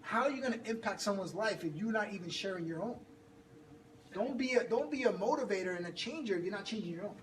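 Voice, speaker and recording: A man's voice: high voice, fast. A man coaching a fitness group, talking with energy and hyping people up. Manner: energetic, hypes people up, laughs often. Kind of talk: motivational group talk. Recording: roomy, from far off, noisy.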